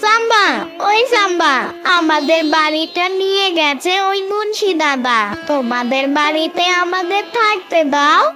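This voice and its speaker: A performer plays a young village boy in a children's cartoon. The voice is extremely high and childlike, earnest and plaintive, quick to turn delighted. Music plays clearly behind it. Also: expressive.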